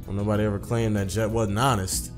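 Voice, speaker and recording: Man reading as a low-pitched, wry young gamer. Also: level tone, fast talker, music in the background, close to an everyday voice. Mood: wry, reflective. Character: laid-back young male gamer, wry visual-novel protagonist.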